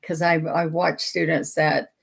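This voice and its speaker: An older woman leads a painting lesson in a casual, chatty way, greeting viewers by name as she works. Her voice is low and gravelly, with a husky rasp that stays present throughout. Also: little pitch movement, unhurried. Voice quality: raspy. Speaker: painting teacher.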